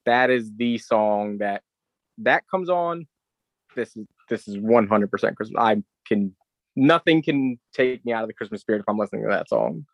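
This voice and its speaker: A man's voice: fairly low pitch, expressive, normal speed, gravelly, no echo, lo-fi sound. An American man co-hosting a podcast in a casual conversational way. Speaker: podcast co-host. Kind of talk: casual chat.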